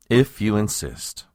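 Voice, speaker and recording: A man's voice: fairly low pitch, darkish tone, no room sound, studio quality, full character voice. A man playing a patient, weary presidential aide, the exasperated straight man of a comedy audio drama. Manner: weary, patient, explaining slowly.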